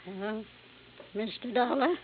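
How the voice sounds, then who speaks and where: A frail, dying old lady with a fairly high voice, gracious and confiding. The old radio recording is dull and muffled, with faint noise. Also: takes it slowly. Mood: confessing. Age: older. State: gravely ill. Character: frail, gracious old grandmother on her deathbed, candid. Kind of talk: old-time radio acting.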